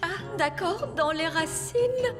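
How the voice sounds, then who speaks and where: Excitable fairy nanny for a kids' cartoon: high, lively, brisk, opinionated. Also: female voice, over loud music, exaggerated voice. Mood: surprised. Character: bossy, excitable fairy nanny, adult woman. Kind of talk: French-dubbed children's cartoon acting.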